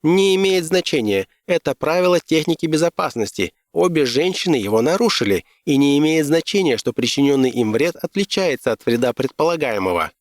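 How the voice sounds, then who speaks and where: Male company lawyer done by a man reading, audiobook character, higher pitch, assertive, pedantic, cross-examining. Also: expressive, brisk, hardly any pauses, no room sound, studio quality, close to an everyday voice. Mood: insistent. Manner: assertive, interrogating, legalistic.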